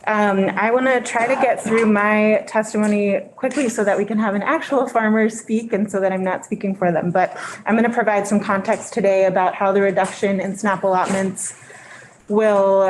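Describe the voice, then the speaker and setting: A soft-spoken woman giving prepared testimony to a legislative committee as a witness. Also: mid-range voice, a little hiss or hum, poor audio. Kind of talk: formal testimony.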